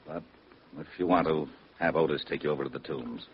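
An actor playing a weary, gruff police lieutenant with a husky voice and a blunt, exasperated manner in an old radio drama. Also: male voice, very deep voice, brisk, slight background noise. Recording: muffled old radio broadcast.

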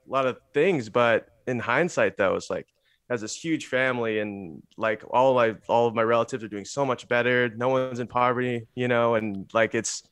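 A young man from the Bay Area, a guest musician talking in a relaxed, rambling podcast chat, peppered with "like" and "you know". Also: medium pitch, no echo. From San Francisco Bay Area, USA.

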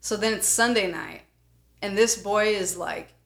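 A young adult woman with an American accent, co-hosting a podcast in casual, animated storytelling with blunt, jokey, profanity-laden talk. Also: medium pitch, unhurried, vocal fry. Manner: foul-mouthed, blunt, jokey. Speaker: podcast co-host.

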